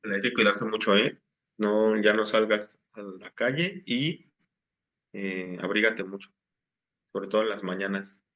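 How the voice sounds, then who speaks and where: A man's voice: fairly low pitch, a little slow, bright, forceful tone, muffled recording. A man, patiently explaining assignments to his class over a video call. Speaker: teacher. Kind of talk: online class instruction.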